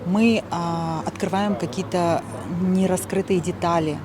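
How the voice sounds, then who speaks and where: A woman with a slightly low voice speaks in an interview at an event. She explains things in plain, composed phrases. The crowd behind her is clearly heard. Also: steady tone, a little slow. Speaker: creative team member. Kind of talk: on-site interview. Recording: busy crowd noise behind. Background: crowd and venue bustle.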